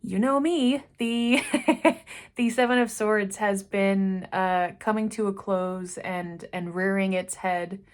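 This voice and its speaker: A woman talks through an intuitive reading in an unscripted, musing way, her thoughts wandering and her voice sinking into quiet asides. She speaks very slowly, in a mid-range voice that is neither high nor low. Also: fairly even intonation. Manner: slow, meandering, trailing off into quiet asides. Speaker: intuitive card reader. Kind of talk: unscripted spiritual reading to camera.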